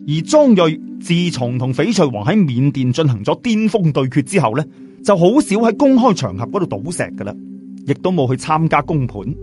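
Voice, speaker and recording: Male Cantonese-accented storyteller, animated and colloquial, swooping intonation, music underneath. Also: on the high side, normal speed. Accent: Cantonese, southern Chinese. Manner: colloquial, expressive traditional storyteller delivery. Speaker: audiobook storyteller. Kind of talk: animated scripted storytelling narration. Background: background music.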